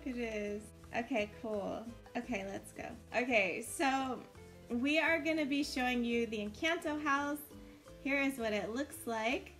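A woman with a fairly high voice hosts a video game tour over background music. She chats casually and encourages her co-hosts as she shows things off. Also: measured pace, a bit bright. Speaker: host of a family Roblox build tour.

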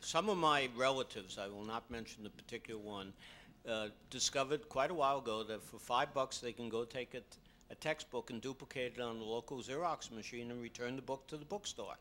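Older man, bright relaxed panel voice. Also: mid-range voice, a little fast, a little hiss or hum. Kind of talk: panel discussion. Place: hotel conference room. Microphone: table mic on a stand.

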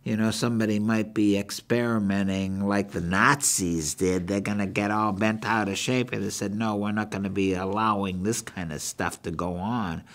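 An older man with a New England accent hosts a rambling book review in a halting, folksy way, repeating himself as he goes. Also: lowish voice, fairly even intonation, a bit bright. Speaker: show host. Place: small meeting room indoors.

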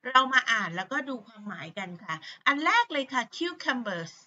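A woman's voice: on the high side, very animated, pushed and bright. A middle-aged woman with a Thai accent, an English teacher patiently explaining vocabulary to pupils with many fillers. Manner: patient, repeats words slowly, many fillers. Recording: dull lo-fi sound.

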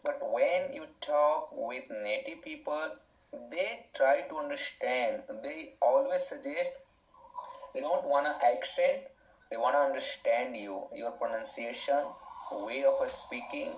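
A man hosts a casual live stream, emphatic and gesturing as he talks. His voice sits at a middle pitch. The phone at arm's length picks up an echoey room. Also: unhurried, a bit bright. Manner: emphatic, gestures while talking. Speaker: host of live English-practice stream. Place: indoor room. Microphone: phone held at arm's length.

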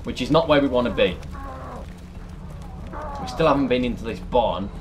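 Animated British man, sweary gamer. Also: mid-range voice, moderate pace, music in the background. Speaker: let's player. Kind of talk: casual reaction commentary over a horror game. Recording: clear background noise and game ambience.

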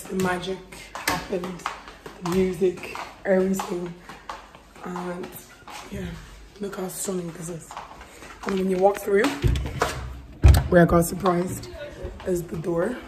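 A woman in her twenties, hoarse after losing her voice, giving a casual vlog tour of a house while filming herself on a phone held at arm's length. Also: lowish voice. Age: 20s. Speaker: vlogger. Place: large house and garden, indoors and outdoors. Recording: phone audio with clear background noise.

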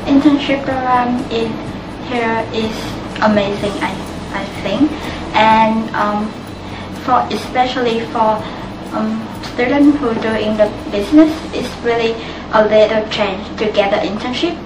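Student intern in her twenties, Vietnamese accent, hesitant, recorded distant in an echoey room. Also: on the high side. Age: 20s. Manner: halting, frequent fillers. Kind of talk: short testimonial interview. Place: small office room indoors. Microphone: camera mic at some distance. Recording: distant roomy sound with loud music.

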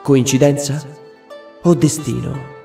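A man's voice: medium pitch, steady tone, slow pace, gravelly, warm tone, soft background music. A man voicing a solemn, vengeful ancient samurai spirit, grave and portentous, in a cartoon fan dub. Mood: solemn persuasion.

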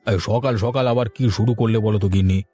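A man plays a henpecked village husband in a cartoon. The voice is mellow, weary and reluctant, always placating, over faint music. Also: fairly low pitch, fairly even intonation, brisk, slightly rough. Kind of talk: cartoon voice acting.

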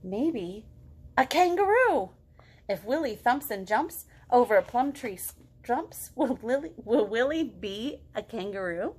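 A woman in her thirties reading aloud to young children as a storytime host, expressive and full of questions to draw them in. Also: a little high, a little slow, husky. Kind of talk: animated picture-book read-aloud for young children. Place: living room at home.

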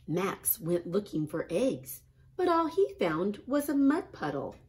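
A middle-aged woman, a kindergarten teacher, reading a picture book and chatting to children in an expressive style. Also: mid-range voice, unhurried. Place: small classroom, indoors.

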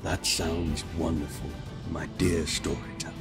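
A man playing Death, a calm, wise reaper who speaks in a measured, formal and reassuring way in a fantasy audio drama. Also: very deep voice, loud music behind the voice. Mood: gently teasing.

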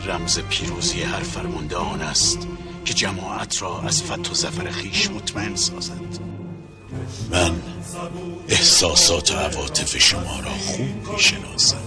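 Commanding historical monarch: low, formal, declamatory, highly varied intonation, scored scene. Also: male voice, huge pitch swings, loud music behind the voice, full character voice. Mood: imperious, measured. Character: commanding, calculating male ruler in a historical drama. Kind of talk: formal historical television drama acting.

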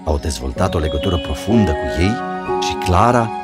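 A man's voice: low voice, sing-song, over loud music. A man reading scripted fairy tale narration as the storyteller.

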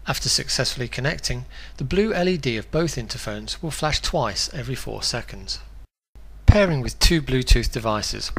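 A man reading a scripted instructional product guide in a clear, step-by-step way. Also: medium pitch.

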